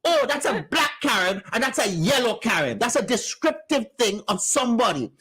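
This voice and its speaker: A man's voice: extremely high pitch, big pitch swings, moderate pace, pushed and bright, breathy edge. Insistent man arguing on radio. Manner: insistent, interrupting, lecturing. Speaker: radio co-host. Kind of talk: heated radio discussion. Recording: radio studio.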